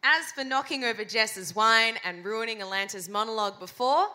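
A woman from Western Australia, a comedian bantering on a bar stage, loud and enthusiastic. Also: a little high, moderate pace. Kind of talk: stand-up banter. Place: live bar stage with audience.

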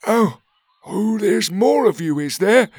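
A man voicing a grumpy, proud old blind lizard creature who snarls and huffs, higher than his own voice, in a storybook reading. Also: expressive, slow pace, darkish tone, dry recording, clean studio sound, exaggerated voice. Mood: indignant.

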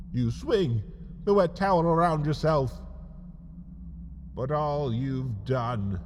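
A man hosting and narrating a scripted comedic horror podcast, with a theatrical, mock-ominous delivery and dramatic pauses. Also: medium pitch, darkish tone, slight background noise.

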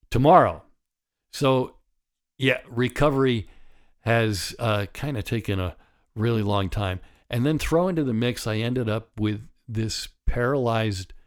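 A man in his sixties with a raspy voice from a partly paralyzed vocal cord, talking candidly and wryly as host of a solo podcast. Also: mid-range voice. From United States. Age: 60s. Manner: candid, wry and conversational, with chuckles. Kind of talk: reflective solo podcast monologue.

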